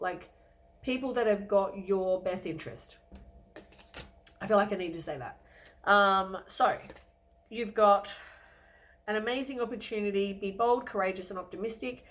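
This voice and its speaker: A woman talks through a weekly tarot reading in a loose, rambling way, filling pauses with ums. Her voice is croaky from a cold. She sits in a middling pitch for a woman. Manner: relaxed, rambling, many ums and hedges. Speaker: tarot reader.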